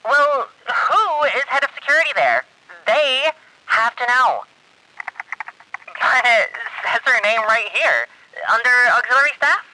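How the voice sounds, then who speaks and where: A woman playing an awkward but persistent doctor making phone calls, halting in speech, through a telephone filter in an audio drama. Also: fairly high pitch, animated, bright, forceful tone, a little hiss or hum, heavily acted, poor audio. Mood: frustrated, insistent. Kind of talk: naturalistic audio drama acting.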